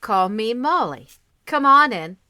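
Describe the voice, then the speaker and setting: Woman doing a motherly folksy older society lady, bright forceful voice, really slow, kind and blunt, radio play style. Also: a little high, animated. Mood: welcoming. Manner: folksy, drawn-out, emphatic. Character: kindly, plain-spoken, motherly middle-aged society woman with large warmth.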